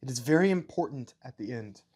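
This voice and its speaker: A man's voice: mid-range voice, expressive, a little fast, a little mellow, breathy edge. Man as a cold American-accented wartime scientist. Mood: cold, calculating. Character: American-accented Nazi scientist, devoted, coldly calculating man.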